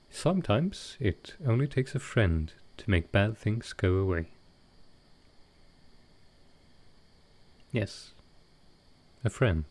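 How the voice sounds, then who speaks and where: A gentle vampire lord of an intimate audio roleplay, acted by a man. His voice sits very low and he speaks very slowly. He is tender and hesitant, a soft-hearted host who carries grief and offers comfort. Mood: gently teasing, affectionate.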